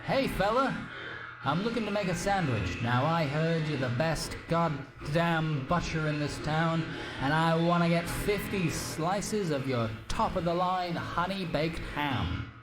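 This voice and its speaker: A man's voice: on the high side, animated, unhurried, music in the background. A man voicing a jittery stand-up comic. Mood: joke-telling. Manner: bouncy, put-on joke-telling voices. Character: nervous male stand-up comic, adult.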